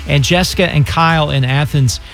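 An earnest man making a radio fundraising appeal in a slightly high voice. He reads donor names at a steady pace, with faint music under him. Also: brisk. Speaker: radio host.

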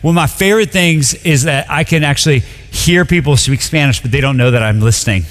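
A young man from Colombia preaching a sermon in a relaxed, conversational way, full of "like" and quick restarts. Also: a little high. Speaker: pastor. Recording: long reverb of a large hall.

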